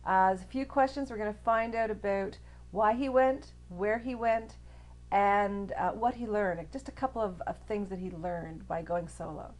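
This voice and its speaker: A woman's voice: mid-range voice, normal speed, darkish tone. A woman hosting an interview over a video call, friendly and upbeat, with easy laughter. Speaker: interview host.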